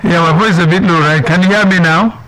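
A man's voice: high-pitched, fast talker, a crowd in the background, rough recording. A Nigerian man hosting a radio health talk show, chatting with many fillers and tag questions like "isn't it?".

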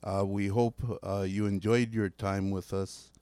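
Deep-voiced man hosting a relaxed morning show. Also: smooth, dry recording. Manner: loose, rambling delivery that slips between two languages; reads announcements aloud haltingly. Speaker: radio show host. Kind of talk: casual morning radio chat and weather read.

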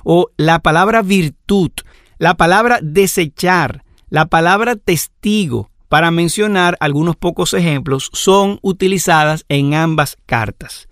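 A man teaching a Bible lesson in a measured, explanatory way, listing examples one after another. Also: on the high side, a bit bright, no echo, studio quality. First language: Spanish. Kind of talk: lecture on scripture.

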